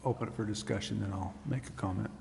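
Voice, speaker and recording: A man's voice: lowish voice, quick pace, creaky, dark tone. A man speaking as a staff adviser at a public meeting. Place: council chamber. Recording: echoey hall, faint noise.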